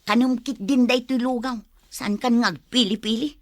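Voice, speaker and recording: Woman as a weary, high-voiced caregiving wife. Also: fairly even intonation, normal speed, no echo, studio quality, close to an everyday voice. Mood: impatient. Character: weary, exasperated wife caring for her ailing mother. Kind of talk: radio drama acting.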